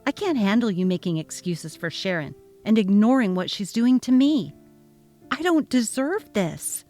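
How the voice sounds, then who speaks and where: A woman's voice: medium pitch, animated, moderate pace, dark tone, soft background music. A woman voicing a fed-up, defiant young mother, reading a text-message story dramatically and emphatically.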